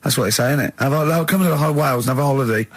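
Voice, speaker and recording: Male radio host, slightly rough-edged voice in a dry studio, needling and quarrelsome in comic chat. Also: mid-range voice, quick pace, dry recording. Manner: teasing, argumentative. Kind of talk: casual comic banter.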